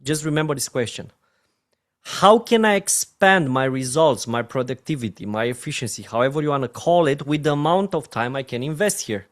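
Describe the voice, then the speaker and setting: A man's voice: mid-range voice, expressive, a little fast. A man with a Romanian accent, a network marketing trainer giving a training talk in an emphatic way, often checking in with his listeners. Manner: emphatic, often asks "right?" and "my friend".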